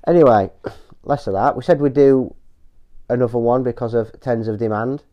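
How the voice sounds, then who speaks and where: A man, croaky from a cold, chatting dryly on a family podcast as co-host. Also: mid-range voice, normal speed. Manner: dry, joking. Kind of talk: casual chat. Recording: dull lo-fi podcast audio.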